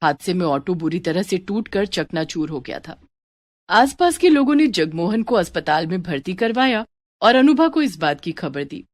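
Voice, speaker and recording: A woman's voice: on the low side, big pitch swings, moderate pace, dry recording. A woman storyteller reading a scripted story as narration.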